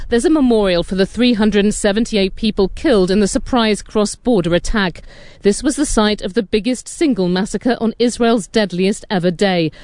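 A woman correspondent filing a solemn, measured news report. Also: mid-range voice, darkish tone, no room sound. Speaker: foreign correspondent.

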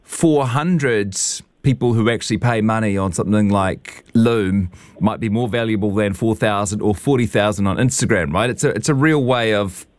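Man, new zealand accent, radio host, low pitch, dry sound, chatty. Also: normal speed, few pauses, no room sound. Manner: relaxed, chatty, says "mate". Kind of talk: radio interview.

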